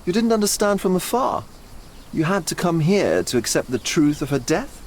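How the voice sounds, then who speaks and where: Genteel, wildly lilting art dealer. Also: male voice, on the high side, very animated, a little hiss or hum. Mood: musing, probing. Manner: florid, teasing, drawn-out phrasing. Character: genteel, theatrical older male art dealer, probing and sly. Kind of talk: video game cutscene acting.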